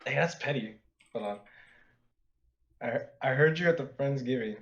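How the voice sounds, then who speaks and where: A man from Texas calling into a podcast, relaxed and chill in casual chat. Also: medium pitch. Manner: relaxed, chill, slow-talking. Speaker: caller. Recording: muffled call-in line.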